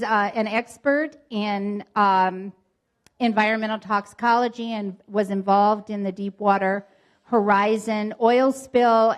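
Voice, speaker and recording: Woman introducing a guest speaker. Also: mid-range voice. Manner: chatty, a little halting. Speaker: introducer at a club meeting. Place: meeting hall.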